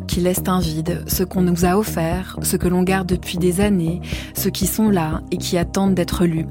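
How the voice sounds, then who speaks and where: A woman reading a scripted introduction in a calm, literary way. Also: on the low side. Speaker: program narrator. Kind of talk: scripted narration.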